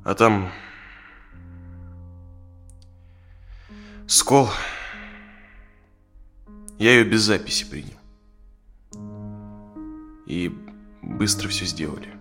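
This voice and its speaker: An actor playing a conflicted married dentist of forty in an audio drama, confiding hesitantly in natural, conversational speech. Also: male voice, low voice, animated, slow, pauses often, fairly bright tone, full character voice. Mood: subdued, hesitant. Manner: hesitant, confessional. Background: plucked guitar music.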